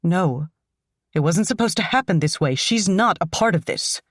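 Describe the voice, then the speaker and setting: A woman's voice: on the low side, expressive, normal speed, dry recording, studio quality. Woman doing an alarmed, urgent mother. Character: panicked middle-aged mother, sharp and urgent.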